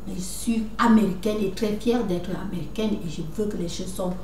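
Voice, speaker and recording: A woman's voice: mid-range voice, fairly even intonation, moderate pace, warm tone. Lecturing woman, echoey, far from the mic. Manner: lecturing, repeats "n'est-ce pas", many restarts. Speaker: video commentator. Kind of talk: casual monologue to viewers. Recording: echoey room, somewhat far from mic, faint noise.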